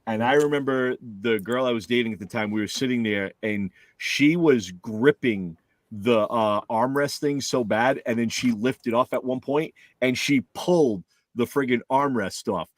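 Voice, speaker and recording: Co-host: man from Rhode Island, rambling and animated, close dry mic. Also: medium pitch, moderate pace, no room sound. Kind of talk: casual chat.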